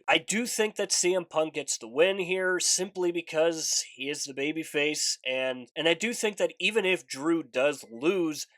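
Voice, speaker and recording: A man's voice: a little high, fairly bright tone, dry recording. A man with a congested nose, hosting a casual video preview of upcoming matches, earnest and chatty, leaning on stressed words. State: congested, recovering from a fever. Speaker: wrestling preview channel host. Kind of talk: casual vlog commentary, breaking down upcoming matches.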